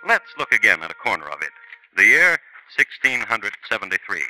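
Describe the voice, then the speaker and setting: Man, wry storyteller, low voice with swinging pitch, 1950s radio narrator with music bed. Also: very animated, a little fast, sharp tone, low-quality recording. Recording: old radio broadcast with music.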